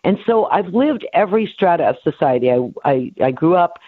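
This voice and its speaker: A woman's voice: lowish voice, expressive, fairly quick, no echo. A woman in her seventies from New York City, rambling through her life story as an interview guest, full of fillers and restarts. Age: 70s. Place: home office. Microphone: headset on a video call. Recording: tinny phone-like dry sound.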